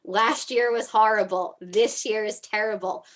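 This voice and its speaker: A woman's voice: medium pitch, fairly even intonation, a little slow, a bit bright. A woman running for a school council, answering at a candidate forum over a video call, earnest and repeating her points.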